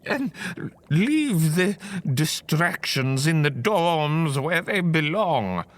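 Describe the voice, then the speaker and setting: Stern fussy professor, high voice, wildly swooping intonation, pedantic delivery, fantasy audio drama. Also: male voice, sing-song, exaggerated voice. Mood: stern, suspicious. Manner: pedantic, halting, theatrically emphatic. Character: pompous, stern, long-winded male professor of herbology. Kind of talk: fantasy audio roleplay acting.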